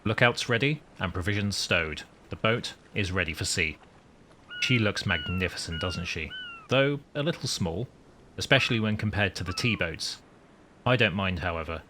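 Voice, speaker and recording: Man playing a low-voiced, wry submarine commander. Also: wildly swooping pitch, moderate pace, bright, forceful tone, slight background noise, full character voice. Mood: proud, reflective. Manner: formal, dry understatement. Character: wry newly promoted wartime British submarine commander. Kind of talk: scripted first-person story narration.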